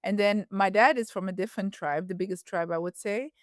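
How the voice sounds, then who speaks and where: A woman guest on a podcast, talking reflectively with plenty of pauses, fillers and restarts. Her voice is smooth and clear, recorded close and dry with no room sound. She sits in the middle of the usual pitch range for a woman. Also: no room sound. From Ethiopia, raised in the Netherlands. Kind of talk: casual interview conversation.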